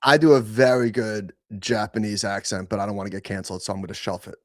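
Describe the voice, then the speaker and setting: A man's voice: lowish voice, fairly even intonation, fast, dry recording, clean studio sound. A man chatting casually as a show guest, with teasing, incredulous banter. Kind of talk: casual chat.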